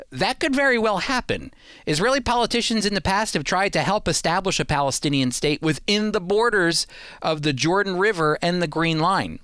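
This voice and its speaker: A man with a slightly high, very animated voice delivers prepared commentary like a teacher making his case. His tone is smooth and clear. He is emphatic and persuasive, leaning on key words. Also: dry recording, studio quality. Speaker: host and Bible teacher.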